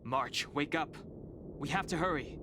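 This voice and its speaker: A man's voice: on the high side, measured pace, lightly acted. A man voicing a serious, urgent young warrior companion in a video game, terse and businesslike. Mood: urgent, stern. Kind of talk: acted video game dialogue. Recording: clear background noise.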